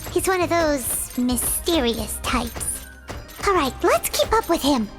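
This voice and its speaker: A woman voicing a tiny, chatty, wary childlike fairy companion in a squeaky voice for a video game. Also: big pitch swings, slow, exaggerated voice. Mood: curious, puzzled. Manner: speaks of self in third person. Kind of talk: English video game voice acting. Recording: loud music under the voice.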